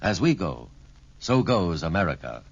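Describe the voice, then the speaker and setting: Deep-ish, animated male radio announcer. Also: moderate pace, over loud music. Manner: earnest, solemn oratory. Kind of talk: scripted public service narration. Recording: dull vintage radio recording.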